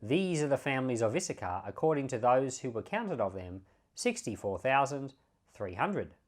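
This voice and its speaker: A man reading the Bible aloud as a pastor, in measured phrases with emphatic openings. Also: mid-range voice, big pitch swings.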